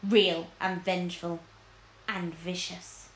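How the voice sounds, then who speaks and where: A woman voicing a cunning storybook lord who speaks in grave, theatrical flattery, in an echoey room. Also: fairly low pitch, slow, slight background noise. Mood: grave, conspiratorial. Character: cunning, flattering, scheming male lord, falsely sorrowful.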